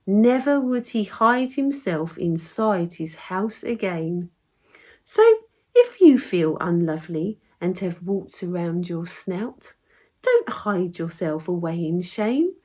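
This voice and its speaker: A woman with a southern English accent reading her own rhyming story in an expressive storybook manner. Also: fairly low pitch, slow. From Essex, England. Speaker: poet reading own work. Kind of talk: expressive storybook verse narration.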